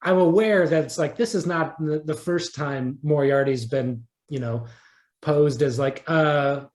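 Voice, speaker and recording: A man's voice: on the high side, unhurried, a little mellow. A man being interviewed as a writer in a casual podcast chat, thinking aloud and rephrasing as he goes. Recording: roomy, echoey sound.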